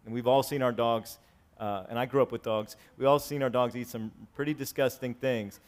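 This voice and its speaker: A man in his forties preaching a sermon to his congregation, swinging between raised, emphatic lines and quiet, conversational asides. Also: mid-range voice, steady tone, moderate pace. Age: 40s. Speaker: pastor. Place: church sanctuary with congregation.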